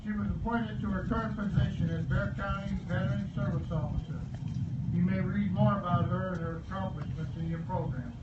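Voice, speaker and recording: High-voiced American man as ceremony emcee, echoey. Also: steady tone, fairly quick. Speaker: master of ceremonies. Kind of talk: formal introduction at a ceremony. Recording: echoey distant PA, dull, clearly noisy.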